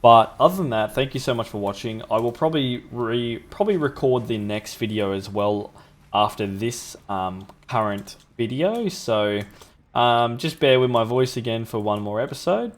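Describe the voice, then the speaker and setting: A man's voice: mid-range voice, animated, moderate pace. A young man with a sore throat, casually talking through a factory-building game as the channel's host, thinking aloud with ums. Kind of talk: gameplay commentary.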